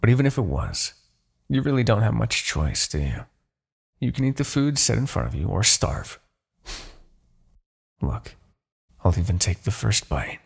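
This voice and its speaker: Demon king played by a man: low, husky, courtly and possessive, with dramatically swooping delivery for fantasy ASMR roleplay. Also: very animated, a little slow, pauses often, heavily acted. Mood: condescending, amused. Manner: haughty, theatrical, formal diction.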